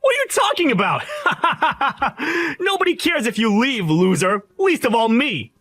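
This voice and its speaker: A man putting on a shrill sneering bully boss. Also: huge pitch swings, moderate pace, soft background music, full character voice. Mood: gloating rage. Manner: yelling insults. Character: arrogant young male boss, loud, contemptuous bully. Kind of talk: voiced story drama.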